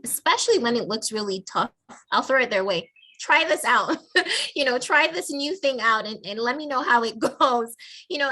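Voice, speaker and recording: A woman with a Nigerian accent speaks over a video call. Her voice is bright and sits a little high. She tells lively stories and laughs often. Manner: warm storytelling with frequent laughs. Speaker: guest, faculty member.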